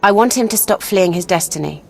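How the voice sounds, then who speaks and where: A woman playing a proud, vengeful young noblewoman in a fantasy video game, speaking earnestly and deliberately. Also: medium pitch, gravelly, faint music behind, lightly acted. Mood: frank, challenging. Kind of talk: video game dialogue acting.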